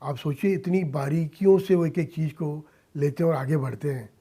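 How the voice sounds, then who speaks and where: A man with a fairly high, very mellow voice is being interviewed in a radio studio. He speaks earnestly in short, halting phrases and leans on his points with emphasis. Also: slightly rough. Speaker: interview guest, industrialist and philanthropist. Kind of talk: earnest interview answers mixing Hindi and English.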